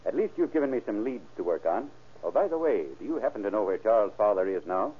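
A probing county sheriff in a vintage radio crime drama. He talks fast in a lowish, very lively voice, businesslike and pointed. The recording is thin and scratchy, like old radio. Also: husky, phone-quality sound. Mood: brisk, businesslike. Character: brisk, probing county sheriff questioning witnesses.

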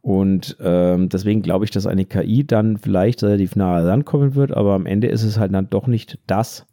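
A man co-hosts a casual podcast. His voice sits low and is very soft-edged and mellow, recorded close and dry. He explains in an unscripted way, repeating himself to make a point. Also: moderate pace, vocal fry, dry recording. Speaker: podcast co-host. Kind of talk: casual unscripted podcast chat about photography.

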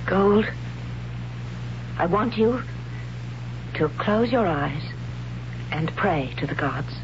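An actress playing a troubled young warrior woman in a 1970s radio drama, speaking haltingly and close to tears, on a muffled old radio recording with hiss. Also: on the low side, lively intonation. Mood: torn. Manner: halting, hesitant, breaking into tears.

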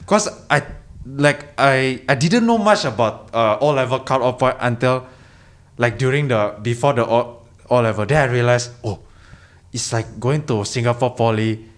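A man's voice: mid-range voice, normal speed. A young man from Indonesia, a podcast guest chatting casually, hesitant with many restarts. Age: young adult. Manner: hesitant, many restarts and fillers, lots of "yeah". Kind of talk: casual chat.

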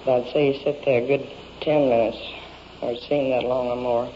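A woman's voice: rumbling low, fairly even intonation, fairly quick. A woman giving a statement to police, plainly recounting events step by step in colloquial, rambling speech. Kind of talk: unscripted, plain step-by-step account. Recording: dull, noisy old field recording.

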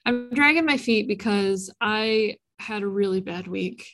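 A woman's voice: mid-range voice, a little slow, fairly bright tone, faint music behind. Chatty woman in her thirties hosting. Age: 30s. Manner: chatty, laughs often, says "like" a lot. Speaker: podcast host. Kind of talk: casual faith podcast chat. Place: in a room with a co-host, in person.

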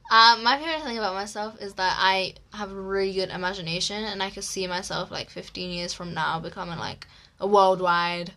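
A woman's voice: mid-range voice, steady tone, brisk, bright, forceful tone. A teenage girl with an Egyptian accent, co-hosting a casual podcast in a chatty, teasing way. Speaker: podcast co-host. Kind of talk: casual chat.

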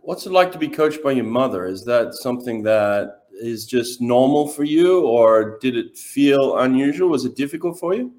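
A man's voice: mid-range voice, expressive, normal speed, hardly any pauses, darkish tone. A man hosting a podcast who asks loose, casual questions that stumble over fillers and restarts. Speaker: podcast host. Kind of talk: casual interview.

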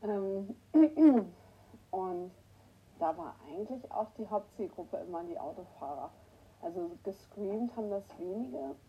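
A woman with a cold hosting a casual morning livestream, greeting her viewers by name and clearing her throat often. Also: mid-range voice, lively intonation, measured pace, dull audio. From northern Germany. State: has a cold, hoarse at times. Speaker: livestream host. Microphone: new microphone that is not working right.

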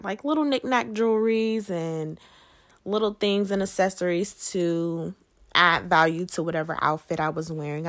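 A woman's voice: lowish voice, fairly bright tone. A woman hosting a podcast on her own, talking in a casual, conversational way and putting questions to her listeners. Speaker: podcast host. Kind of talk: casual solo podcast chat.

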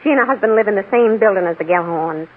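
An actress playing a gossipy, middle-aged American housewife, garrulous and self-important, in 1940s radio drama style. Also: female voice, medium pitch, racing, lightly acted. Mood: eager, chatty. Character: gossipy, nosy, fluttery housewife and eager neighbourhood witness. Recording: muffled, narrow-band old radio recording.

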